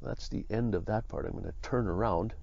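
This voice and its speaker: A man casually narrating his walk through abandoned houses, easygoing and halting, with frequent chuckles. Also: lowish voice, creaky, darkish tone, muffled recording, slight background noise. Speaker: vlogger. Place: outdoors in a rural ghost town and inside abandoned houses.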